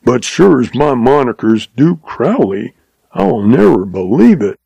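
A man's voice: mid-range voice, very animated, measured pace, creaky, warm tone, breathy edge, no room sound, highs cut off, full character voice. A man voicing a muttering, heavyset older man in a western story. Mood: disbelieving. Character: goggle-eyed fat older man, muttering.

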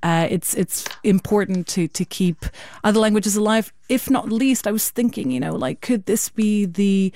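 A woman's voice: medium pitch, normal speed, mellow. A woman who is a native Portuguese speaker, chatting enthusiastically as a panel guest. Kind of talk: casual panel discussion.

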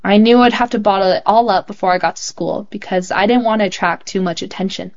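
A woman reading a child's essay aloud as scripted narration, in a clear and measured storytelling manner. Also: mid-range voice, steady tone, muffled recording. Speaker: host reading children's stories.

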